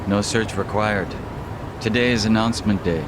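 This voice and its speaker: An actor playing a cool, serious underworld ally in a game dub, terse and matter-of-fact. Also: male voice, fairly low pitch, fairly even intonation, moderate pace, very noisy, natural voice. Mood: cool, informative. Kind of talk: English game dub cutscene acting.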